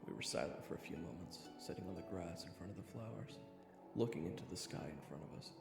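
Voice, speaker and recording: A man tells a first-person fantasy story in a low, slightly gravelly voice. His dramatic narration moves expressively, wrapped in echo with music playing clearly behind it. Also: fast. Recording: reverberant, with prominent music behind.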